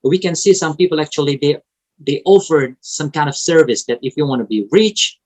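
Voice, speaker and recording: A man with an Indonesian accent, a native Indonesian speaker, teaching a Bible lesson to an online fellowship in a halting way, with restarts and frequent "right?". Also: on the high side, darkish tone. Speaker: preacher.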